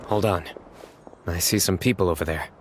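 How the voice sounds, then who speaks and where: An actor playing a stern, reserved young desert investigator who speaks in a measured, deadpan way and sighs often. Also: male voice, deep voice, slight background noise, close to an everyday voice. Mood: calm, grave. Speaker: lead investigator.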